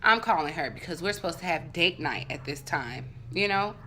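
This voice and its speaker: A woman vlogger telling a story casually and comedically to her viewers, animated and profane, with conversational asides and acted-out dialogue. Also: fairly low pitch, normal speed, slight background noise.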